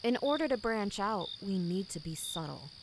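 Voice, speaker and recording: A woman's voice: mid-range voice, animated, moderate pace, noisy background, natural voice. A woman playing a cold, condescending company boss in an audio drama, formal, smug and menacing. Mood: smooth, businesslike. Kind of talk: scripted audio drama acting.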